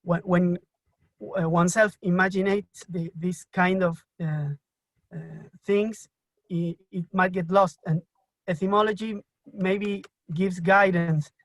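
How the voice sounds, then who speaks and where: Argentine man, slow halting speech. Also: high voice, steady tone, a bit bright, dry recording, rough recording. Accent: Argentine Spanish. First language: Spanish. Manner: halting, many fillers and restarts. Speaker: audience member asking a question. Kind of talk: hesitant question in a live online Q&A. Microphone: video call.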